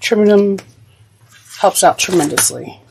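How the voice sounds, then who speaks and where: A woman's voice: medium pitch, a little slow, creaky, a little mellow, slight background noise, low-quality recording. A woman teaching a sewing project, talking casually and thinking aloud as she works. She is getting over a cold and her voice cracks now and then. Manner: chatty, thinks aloud while working. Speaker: sewing instructor. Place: craft room at a sewing table.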